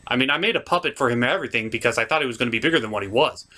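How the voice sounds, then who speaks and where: A man's voice: medium pitch, lively intonation, rapid-fire, bright, forceful tone. A man narrating a comic movie recap for a puppet review show in a casual, sarcastic manner.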